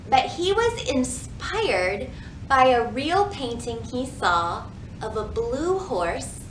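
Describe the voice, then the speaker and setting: A woman hosting a children's storytime, reading and teaching in an animated way with careful enunciation for young listeners. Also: high voice. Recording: roomy echo, faint background noise.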